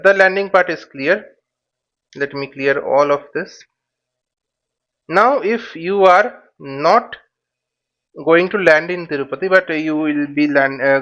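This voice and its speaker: A high-voiced man explains things slowly, like an instructor at a whiteboard. He works step by step, putting questions and then answering them. The recording is slightly dull and lo-fi. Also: fairly bright tone. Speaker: flight simulation instructor. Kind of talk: informal whiteboard tutorial.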